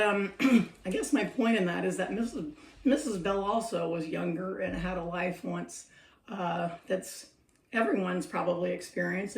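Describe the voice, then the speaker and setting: A woman's voice: on the low side, gravelly. Scratchy-voiced middle-aged woman, roomy echo. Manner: chatty, reflective, laughs often. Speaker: senior care worker sharing advice. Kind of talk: casual talking-to-camera vlog. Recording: roomy echo, mic a bit distant.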